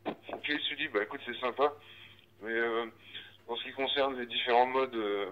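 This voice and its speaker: A man with a mid-range voice talks casually over a handheld amateur radio. His delivery is informal and a little halting as he explains things. The sound is dull and narrow, as heard through a digital radio link. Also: mid-range voice, steady tone. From northern France. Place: outdoors in the street, walking. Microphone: handheld radio transceiver.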